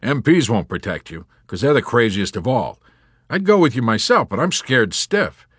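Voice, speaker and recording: A man voicing a brash, excitable wartime airman in an audiobook, exclamatory and emphatic. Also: fairly low pitch, normal speed, slightly rough, no room sound, studio quality. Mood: grim warning.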